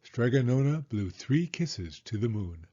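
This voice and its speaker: A man reads a children's story aloud in a mellow, middle-pitched voice. His narration is slow and measured, and the recording sounds a little dull. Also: sing-song.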